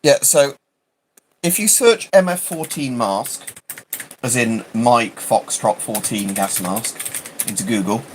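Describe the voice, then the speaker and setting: A man's voice: medium pitch, takes it slowly, slight background noise. A British man chatting casually on a livestream, rambling and hesitant, often interrupting himself. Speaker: livestream host.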